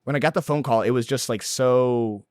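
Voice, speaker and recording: A man's voice: mid-range voice, moderate pace, darkish tone, dry recording, clean studio sound. A man in his late twenties, born in El Salvador, chatting as a guest actor in a casual podcast interview, laughing often and saying "like" a lot. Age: late 20s.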